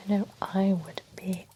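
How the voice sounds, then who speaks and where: A woman in an ASMR roleplay, whispering softly as a nosy, genteel female vampire running a spa, chatty and giggly, close to the microphone. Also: mid-range voice, a little hiss or hum. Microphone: close sensitive ASMR microphone.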